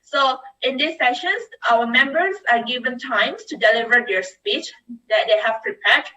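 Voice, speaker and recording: A woman's voice: on the high side, moderate pace, pushed and bright, lo-fi sound. A woman hosting an online club meeting, talking loosely with fillers. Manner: chatty, loose, with fillers and laughs. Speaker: meeting host. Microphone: video call.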